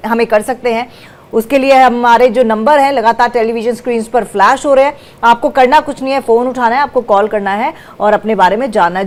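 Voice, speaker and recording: A woman anchors a live astrology call-in program from a TV studio. She talks briskly and lays out each step for viewers, now and then breaking into a cough. Her voice sits fairly high. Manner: brisk, repeats points for viewers. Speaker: news channel anchor. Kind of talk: live TV call-in show hosting.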